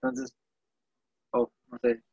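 A young man with a Mexican accent chatting casually in slangy, digressive, animated storytelling. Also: mid-range voice, takes it slowly, gravelly, husky, dry recording, muffled recording. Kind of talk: casual chat.

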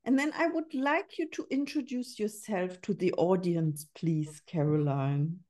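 A woman's voice: on the low side, a little slow, darkish tone. Earnest woman hosting a podcast. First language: German. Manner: earnest, repeats words while thinking. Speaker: podcast host. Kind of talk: casual interview.

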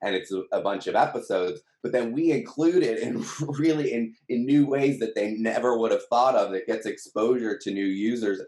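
A man's voice: medium pitch, normal speed, hardly any pauses, darkish tone. A man explaining things conversationally as an interview guest. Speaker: company team member being interviewed. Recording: roomy, echoing sound.

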